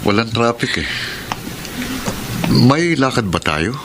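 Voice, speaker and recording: A man's voice: medium pitch, big pitch swings, slow, noisy background. A man acting a conflicted, tender-hearted boyfriend in a sentimental radio drama, speaking in an earnest, heartfelt way. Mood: puzzled. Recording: radio drama with loud music underneath.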